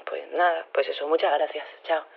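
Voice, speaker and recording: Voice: mid-range voice, fairly even intonation, moderate pace, gravelly, noisy background, close to an everyday voice. An actor playing an earnest, rambling resident with a Castilian Spanish accent, leaving an informal voice message in an audio drama. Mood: earnest, hesitant. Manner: hesitant, rambling. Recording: tinny narrow phone line.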